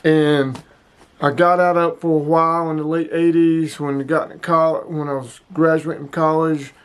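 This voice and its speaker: A man in his sixties hosting a casual hobby product showcase, speaking emphatically and repeating himself to drive points home. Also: fairly high pitch. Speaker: host of a hobby radio video.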